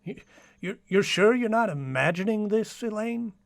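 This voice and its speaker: Worried skeptical brother in old radio drama, stammers, really up and down, dry sound. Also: male voice, sits high, expressive, normal speed, vocal fry, dry recording. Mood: doubtful. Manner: stammering, hesitant. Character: doubtful, concerned brother, gentle and hesitant.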